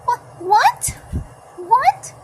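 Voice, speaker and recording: A woman's voice: very high-pitched, sing-song, extremely slow, pitched above the performer's own voice, exaggerated voice. A woman voicing a small, sweet, timid cartoon girl who stammers and pleads, in a fan-dub style. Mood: confused, worried. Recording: faint background noise.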